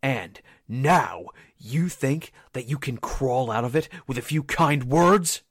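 A man's voice: medium pitch, lively intonation, slow, slightly rough, dry recording, studio quality, exaggerated voice. A man acting an indignant, combative young soldier who scolds in folksy slang, in a 1940s-style radio drama. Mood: indignant, threatening.

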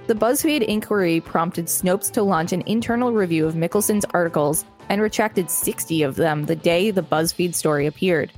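Female podcast co-host, lowish voice, article read-aloud with chatty asides, music underneath. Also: darkish tone. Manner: conversational, informal asides. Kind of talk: reading an article aloud with casual commentary.

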